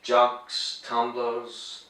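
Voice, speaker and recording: A Scottish man with a Scottish accent, a company director answering interview questions in a brisk, matter-of-fact way with dry humor. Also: medium pitch, monotone, pushed and bright. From Scotland. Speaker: managing director. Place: glassworks showroom. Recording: echoey room, voice a bit far from mic.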